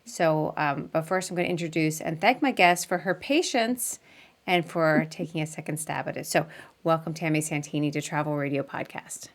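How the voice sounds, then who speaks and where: Casting: woman podcast host on a video call, lowish voice, talkative, laughs easily. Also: normal speed. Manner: chatty, laughs often, apologetic at start. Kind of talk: casual live video interview.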